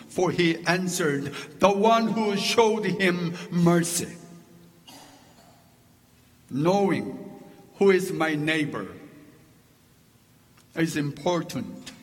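A man with a Korean accent preaches a sermon from the pulpit, his first language being Korean. His voice sits high and he takes his time, building his points through deliberate lists. The sound carries the long reverb of a church sanctuary. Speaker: pastor.

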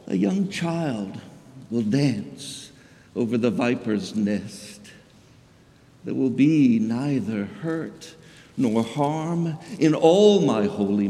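Slow, dramatic male scripture reader, echoing church. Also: a little high, darkish tone. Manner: theatrical, solemn, measured declamation with wide swells. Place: large indoor church. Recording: echoey, long reverb of a church.

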